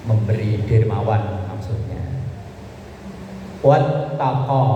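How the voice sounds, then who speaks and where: A man's voice: lowish voice, muffled recording. A man with a Javanese Indonesian accent giving an informal Islamic sermon to a women's study group, conversational and colloquial, explaining things with repetition. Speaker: Islamic preacher. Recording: echoey reverberant hall, faint background noise.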